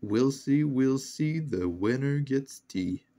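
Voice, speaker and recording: A man's voice: medium pitch, expressive, slow pace, mellow. A man chatting casually and off the cuff as he tests a radio, rambling to himself in slangy talk. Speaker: shortwave radio hobbyist filming a scanning video. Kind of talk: casual off-the-cuff chat while testing a radio. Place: indoors at a desk. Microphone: handheld phone. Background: shortwave radio noise.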